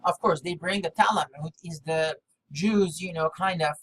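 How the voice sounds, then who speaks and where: Halting, rambling non-native English speaker, noisy recording. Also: mid-range voice, fairly bright tone. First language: not English. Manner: halting, fragmented, repetitive, jumps between thoughts. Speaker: video maker. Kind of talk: rambling unscripted monologue. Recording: clearly noisy background.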